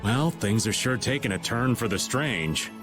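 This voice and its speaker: A man's voice: mid-range voice, animated, measured pace, music in the background, natural voice. A man voicing a wry, easygoing middle-aged rogue in a video game. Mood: wry uneasiness.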